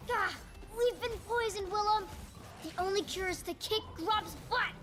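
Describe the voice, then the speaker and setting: A woman's voice: extremely high pitch, moderate pace. A woman voicing an imaginative, playful seven-year-old boy adventurer in a video game, earnest and whimsical. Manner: earnest, whimsical, childlike storytelling. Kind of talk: video game character voice lines. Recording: game clips with music behind.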